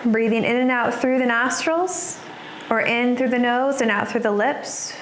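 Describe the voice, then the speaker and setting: A woman yoga instructor guiding a class in a soothing, unhurried manner, leaving pauses between her cues. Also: fairly high pitch. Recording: roomy echo with background noise.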